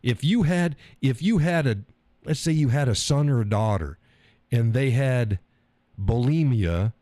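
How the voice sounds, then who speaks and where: A man's voice: medium pitch, expressive, a little slow, mellow, dry recording. A man hosting a live stream show, talking bluntly and profanely. He hammers points by repeating phrases and reads out viewer chat comments.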